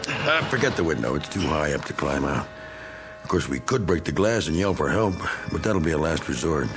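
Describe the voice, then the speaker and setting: An actor playing a bitter, taunting American war veteran in a radio drama, with a gruff, sarcastic manner and an American accent. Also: male voice, rumbling low, sing-song, fairly quick, over music, full character voice. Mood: practical, wary.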